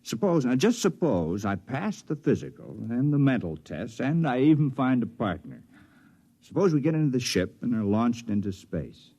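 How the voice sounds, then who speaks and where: Mellow, lively-voiced space volunteer. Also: male voice, medium pitch, moderate pace. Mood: curious, uneasy. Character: polite, independent-minded former teacher volunteering for space. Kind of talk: 1950s radio acting.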